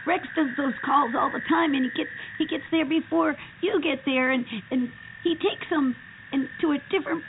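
Casting: female actor as high-voiced, creaky, fretful naive sidekick for kids' radio play, whiny and easily distracted. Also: fairly even intonation, moderate pace. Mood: nervous. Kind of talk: children's radio drama. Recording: tinny, noisy radio-like sound.

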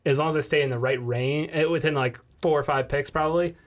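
A man's voice: a little high, fairly even intonation, fast talker. A man co-hosting a casual sports podcast, talking in a loose, slangy, rambling way. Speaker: podcast co-host.

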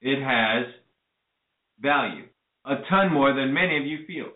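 A man's voice: medium pitch, lively intonation, a little slow. A man hosting a call-in radio show, earnestly advising listeners and then bringing on a guest. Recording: echoey, phone-like lo-fi audio.

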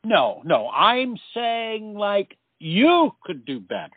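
An actor playing a slow-witted, blustering bully who is easily confused, in a comic stage play. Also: male voice, high voice, big pitch swings, dry recording, full character voice. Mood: confused, belligerent. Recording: muffled, phone-like stage play recording.

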